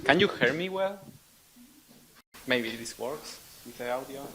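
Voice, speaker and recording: A young man with a Spanish accent speaks to an audience in a lecture room. His delivery is friendly and self-deprecating, often joking with the crowd, at a medium pitch. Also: lively intonation, measured pace, background noise. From southern Spain. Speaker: guest speaker. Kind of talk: casual public lecture.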